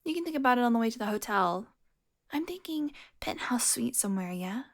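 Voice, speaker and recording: Female vampire voiced by a woman for intimate audio roleplay: predatory, mocking, seductive, fairly high voice with whispered touches. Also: expressive, fairly quick. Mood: teasing, smug. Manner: taunting, sultry, drops into breathy whispers. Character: teasing, predatory female vampire, smug and flirtatious.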